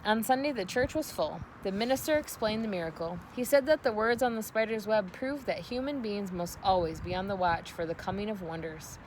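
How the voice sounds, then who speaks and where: Female storybook narrator, mid-range pitch, read-aloud style, noisy home recording. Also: fairly quick, hardly any pauses, darkish tone.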